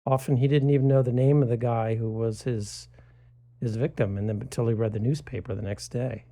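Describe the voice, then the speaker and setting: A man's voice: mid-range voice, fairly even intonation, fairly quick, dark tone. A man from New City, an author guest telling stories in conversation with hesitant fillers. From New York City. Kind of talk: conversational storytelling.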